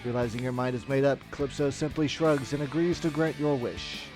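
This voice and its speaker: A man's voice: medium pitch, steady tone, a little fast, over music, lightly acted. A man reading out melodramatic video game ending text as a storyteller. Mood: grave storytelling.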